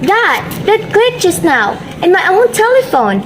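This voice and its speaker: A woman's voice: very high-pitched, big pitch swings, a little slow, a crowd in the background, heavily acted, poor audio. A woman playing a panicked, demanding invalid wife in a melodramatic radio play, imperious and pleading. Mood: terrified, pleading.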